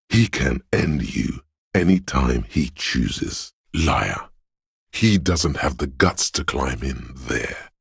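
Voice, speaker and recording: Super deep husky paranoid inner voice for a video game, slow n ominous, like raw fear and menace talking. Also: male voice, no room sound, studio quality, full character voice. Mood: contemptuous, goading. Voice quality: gravelly, husky, breathy. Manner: slow, ominous, intense delivery. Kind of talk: dramatic video game voice acting.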